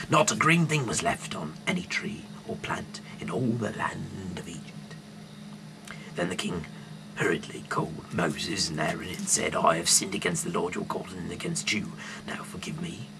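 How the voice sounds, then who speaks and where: A man reads scripture aloud in a very low, creaky voice. He stumbles and hesitates, leaning hard on some phrases and letting others trail into a murmur. The amateur recording has clearly audible noise behind him. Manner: halting, stumbling over words, with sudden loud emphasis. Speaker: amateur Bible reader. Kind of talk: reading scripture aloud with off-the-cuff asides.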